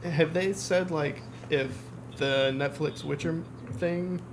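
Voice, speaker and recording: A man's voice: on the high side, steady tone, slow. A man chatting casually as a podcast guest, in loose phrasing full of filler words. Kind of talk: casual chat. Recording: clearly noisy background.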